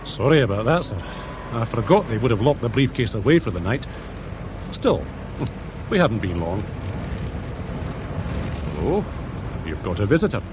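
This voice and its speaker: A male actor plays a dutiful British police sergeant. His medium-pitched voice moves up and down freely, deferential yet matter-of-fact, in a radio drama with faint noise. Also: big pitch swings, normal speed, pauses often, close to an everyday voice. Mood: polite, insistent. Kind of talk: radio crime drama acting.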